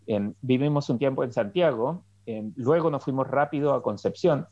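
A man's voice: mid-range voice, normal speed, a little mellow, no room sound. Middle-aged man, reflective guest; dull, dry call audio. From Chile. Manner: reflective storytelling with many fillers and restarts. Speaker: political scientist guest. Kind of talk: interview over video call. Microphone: video call headset.

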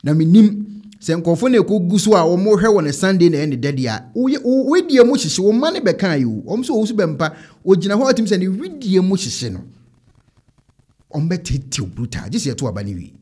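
A man in his thirties with a Ghanaian accent, giving animated commentary as an online show host into a close desk microphone. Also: high-pitched, a little fast, husky. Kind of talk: animated commentary on trending videos. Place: indoor home studio.

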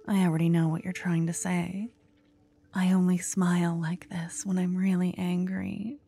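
A woman voicing a jealous, doting young witch girlfriend in an intimate ASMR roleplay, breathy and often whispering, with dramatic delivery. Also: fairly low pitch, little pitch movement, moderate pace, dark tone. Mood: seething, jealous. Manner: dramatic, swinging from cooing to venting. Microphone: close ASMR microphone.

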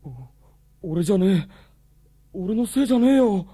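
A man's voice: sits high, lively intonation, normal speed, mellow, slightly rough, no room sound, clean studio sound. An actor playing a frightened, stammering teenage judo club boy in a radio drama. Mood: panicked.

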